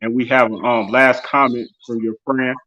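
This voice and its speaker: A man in his forties hosts a casual show and talks loosely, trailing through "you know" and "um" as he goes. His voice sits at an ordinary middle pitch. The recording sounds dull and muffled, like cheap lo-fi gear. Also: level tone, moderate pace, sharp tone. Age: 40s. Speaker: host of an interview show. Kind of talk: casual live-stream show closing.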